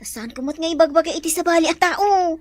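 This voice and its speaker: A woman's voice: very high-pitched, quick pace, dry recording, clean studio sound. A woman with an Ilocano accent playing a cheeky, chatty, gossipy employee in a radio drama. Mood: nosy, pleading.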